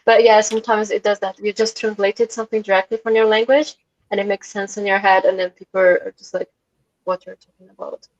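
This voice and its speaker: A woman's voice: medium pitch, flat delivery, brisk. Woman on a dull video call. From Brazil. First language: Portuguese.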